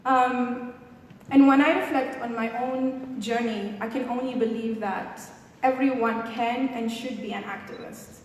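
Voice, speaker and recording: A young woman with a Tunisian accent delivers a motivational keynote in a fairly high voice. She addresses the crowd directly and rhetorically. The sound rings through a reverberant hall with some background noise. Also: fairly even intonation, unhurried. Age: young adult. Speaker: keynote speaker at a youth summit. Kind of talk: motivational public speech. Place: large indoor hall at a summit.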